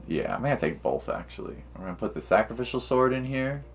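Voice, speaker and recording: A man's voice: medium pitch, sing-song, quick pace, gravelly. A man giving casual gameplay commentary to livestream viewers, slangy and musing aloud to himself, with game music playing behind him. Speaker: game streamer.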